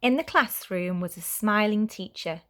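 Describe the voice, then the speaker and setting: A woman's voice: mid-range voice, lively intonation, moderate pace, a bit bright. Woman reading a children's storybook. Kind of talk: children's storybook read-aloud.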